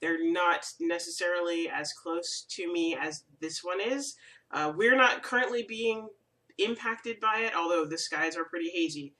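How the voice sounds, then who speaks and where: A woman's voice: fairly low pitch, measured pace, bright, forceful tone, recorded from a distance. Stuffy-nosed woman chatting at home. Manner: rambling, friendly, lots of ums. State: stuffy from a cold. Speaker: crafting video host. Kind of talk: casual chatty vlog. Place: room at home.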